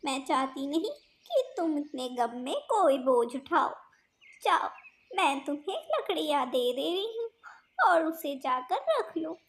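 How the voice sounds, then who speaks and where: A woman voicing a sympathetic, fussing auntie bird in a cartoon, earnest and expressive. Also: sits high, measured pace, long pauses. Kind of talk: Hindi cartoon voice acting.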